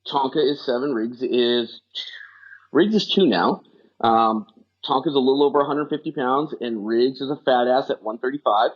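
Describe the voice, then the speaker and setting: A middle-aged man with a husky, slightly rough voice, talking fast in long rambling run-ons. He chats casually as a podcast guest. The audio is dull and lo-fi. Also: mid-range voice. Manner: rapid, rambling run-on talk. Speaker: guest, firefighter rehab specialist.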